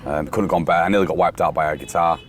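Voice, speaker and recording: A man from the UK chatting casually on a podcast, rambling with fillers and restarts, his voice worn from shouting. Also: low-pitched, racing. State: voice going from shouting. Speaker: podcast host, security company boss. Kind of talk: casual chat. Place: outdoors on a festival site during breakdown. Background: faint site noise and vehicles.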